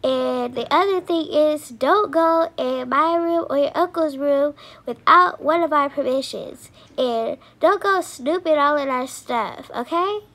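A woman's voice: sits high, measured pace, hardly any pauses, a bit bright. A woman voicing a snooty, bossy young fiancée in an amateur cartoon doll story, with haughty phrasing. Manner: haughty, valley-girl phrasing. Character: snooty, bossy young fiancée, mean behind false sweetness. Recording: clearly noisy home recording.

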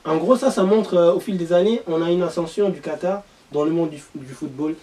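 A man's voice: on the high side, fairly quick, warm tone. A young man speaking as a panelist in a casual discussion. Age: young adult. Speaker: panelist presenting a football segment. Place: small meeting room around a table. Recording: distant room mic.

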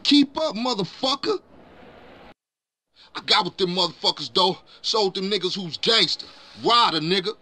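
An actor playing a cocky, hot-tempered gangster who talks in brash, mocking slang in a video game. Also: male voice, sits high, expressive, moderate pace, sharp tone. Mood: urgent, cocky. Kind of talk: video game cutscene acting.

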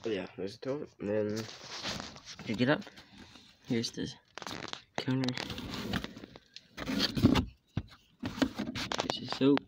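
A man casually naming items as he films a cardboard model house on a handheld phone indoors, in short halting phrases. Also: background noise. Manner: halting, plain listing of items, some low mutters. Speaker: vlogger showing a cardboard house. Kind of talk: casual show-and-tell narration. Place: indoors, small home room. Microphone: handheld phone camera.

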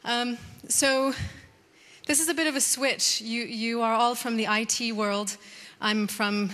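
A woman in her thirties with an American accent giving a conversational conference talk, with frequent "um"s and restarts. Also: medium pitch. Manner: warm conversational, many "um"s and restarts. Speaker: conference speaker. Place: large conference hall. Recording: reverberant hall.

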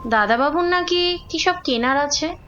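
An actress playing a village wife in a horror audio drama, who is prey to an evil spirit. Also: female voice, a little slow, a bit bright, a little hiss or hum. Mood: polite, subdued. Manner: shifts between polite speech and shrill threats. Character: gentle village wife, possessed by a shrieking, defiant evil spirit. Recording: dull audio under music.

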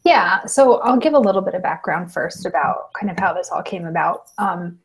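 A chatty woman in her thirties from Colorado, talking casually on a video call. Her voice sits a little low for a woman, and she laughs easily as she tells a story. The sound comes through an earbud headset in a small room at home. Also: normal speed, breathy edge, low-quality recording. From Colorado, United States. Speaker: podcast co-host. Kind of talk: casual chat.

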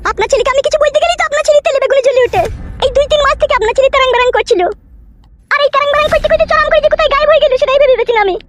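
A woman voicing a foul-mouthed, scolding village woman in a comedy cartoon, with a rural West Bengal accent and a pitched-up voice. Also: very fast. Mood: aggrieved. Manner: scolding, complaining.

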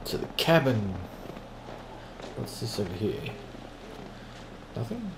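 A man's voice: mid-range voice, big pitch swings, slow pace, background noise. A man with a snuffly voice from hay fever, casually commenting on a video game with jokey quips. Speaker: let's play gamer.

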